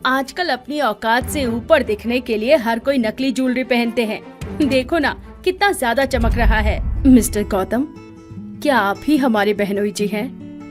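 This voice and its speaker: Gracious, high-voiced middle-aged aunt acted by a woman. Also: unhurried, natural voice. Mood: poised, polite. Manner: warm, polite, mixes English phrases into Hindi. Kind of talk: Hindi audio drama acting. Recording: music bed behind the voice.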